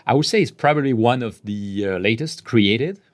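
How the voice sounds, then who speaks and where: A man in his fifties from France with a French accent, answering interview questions in a measured way, pausing mid-phrase and letting his voice trail off softly. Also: medium pitch, expressive. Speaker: guest executive.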